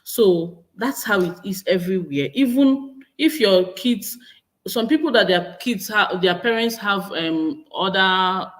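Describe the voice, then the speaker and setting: Nigerian-accented woman, casual vlog chat, mid-pitched, restarts sentences. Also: measured pace, a bit bright. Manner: chatty, repeats and corrects herself, reads viewer comments aloud. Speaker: vlogger. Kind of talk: casual talk to camera.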